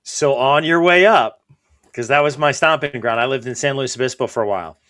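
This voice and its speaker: A man hosting a podcast, chatting in a relaxed, friendly way. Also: medium pitch, fairly quick. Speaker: podcast host. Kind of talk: casual podcast conversation.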